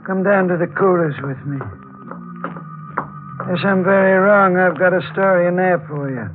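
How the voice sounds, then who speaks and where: An actor playing a somber, fatherly older morgue attendant in a 1940s radio drama, speaking gravely and mysteriously. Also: high-pitched, moderate pace, lightly acted. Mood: inviting, mysterious. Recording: tinny 1940s radio recording, faint music.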